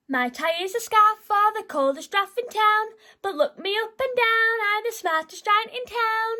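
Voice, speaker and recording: A woman's voice: very high-pitched, normal speed, sharp tone, pitched above the performer's own voice. A woman voicing a kind, proud male storybook giant with a cheery, sing-song delivery.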